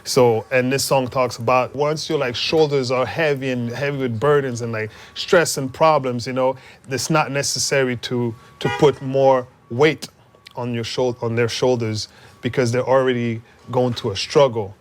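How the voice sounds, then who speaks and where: A young man from Montreal speaks, his voice sitting in a middle register. He is a musician talking through his thoughts in a casual interview, loose and unhurried, with plenty of fillers along the way. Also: mid-range voice, fairly even intonation, faint music behind. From Montreal, Canada. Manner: loose and conversational, many fillers.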